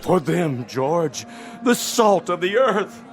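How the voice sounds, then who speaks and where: An actor playing a pushy, smooth-talking movie producer in a radio drama, wheedling and grandiose like a salesman. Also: sits high, sing-song, slow pace, exaggerated voice. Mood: grandiose pleading.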